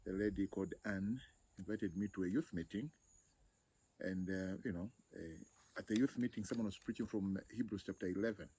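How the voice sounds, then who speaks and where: A man from Zambia, a ministry director, recalling his story in a relaxed conversational interview. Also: low-pitched, moderate pace, slightly rough. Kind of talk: interview testimony.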